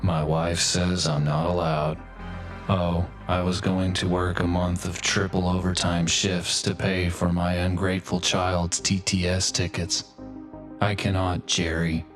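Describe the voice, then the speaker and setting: An actor playing a pathetic, self-loathing office drone in a video game, speaking in a stilted, formal, morose deadpan. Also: male voice, low voice, unhurried, music in the background. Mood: despairing, deadpan. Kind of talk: video game voice acting, melodramatic monologue.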